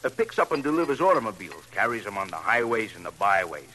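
A wordy, whimsical police sidekick in an old radio crime play. His bright voice swings up and down as he rambles with droll weariness. The vintage recording is faintly noisy. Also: lowish voice, lively intonation, quick pace. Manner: whimsical, rambling, mock-fascinated. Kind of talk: 1950s radio crime drama acting.

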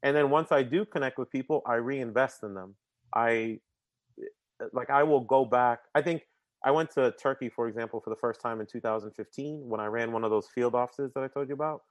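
A man in casual conversation as an interview guest, energetic and talkative. He often repeats a word as he starts a sentence and drops in casual slang. His voice sits at a middle pitch and the recording is dry and close, with little room sound. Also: fairly quick, no room sound, lo-fi sound. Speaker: podcast guest.

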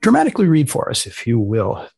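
A man hosting a humorous science podcast, prompting his guest in a terse, deadpan way. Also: mid-range voice, fast, creaky, husky. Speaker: podcast host and magazine editor.

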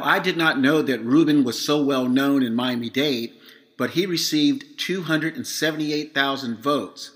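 A middle-aged man from Florida hosts his podcast, his voice a little hoarse. He talks in a measured, prepared way, reading from notes with the odd filler. His pitch sits in the middle range for a man. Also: fairly bright tone. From Florida, USA. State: slightly hoarse. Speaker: podcast host.

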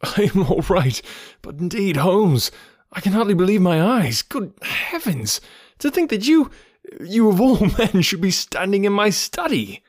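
A man voicing an excitable, warm-hearted Victorian gentleman, effusive and loyal, in an audiobook story. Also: sits high, measured pace, pitched above the performer's own voice, exaggerated voice. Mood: amazed, overjoyed.

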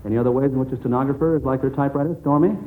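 A man's voice: medium pitch, fairly even intonation, rapid-fire, dark tone. An American man hosting a 1940s radio quiz in a folksy, encouraging manner. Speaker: quizmaster of a children's quiz show. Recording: muffled old narrow-band radio recording.

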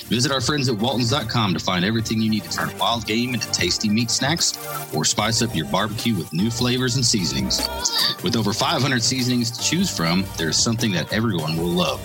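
A man reading a scripted commercial with smooth pitchman delivery. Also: expressive, fairly quick, over music. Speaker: ad announcer. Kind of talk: scripted ad read.

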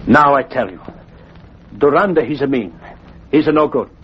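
A man's voice: medium pitch, lively intonation, moderate pace, natural voice. An actor playing an anxious, pleading Italian immigrant father in a radio drama, speaking accented broken English and referring to himself in the third person. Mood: confiding, grave. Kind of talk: 1940s radio acting. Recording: dull, noisy old radio recording.

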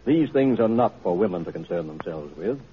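A man's voice: deep voice, lively intonation, fairly quick. An actor playing a middle-aged, doting but firm patriarchal father in an old-time radio drama. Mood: gently firm. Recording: muffled, noisy old radio recording.

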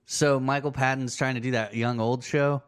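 A man in his late twenties chats as a podcast guest. He tells stories with animated energy and uses exaggerated voices when he quotes people. His pitch is ordinary and middle. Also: normal speed, smooth. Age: 20s. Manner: animated storyteller, jumps to high voices for quotes. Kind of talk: casual chat.

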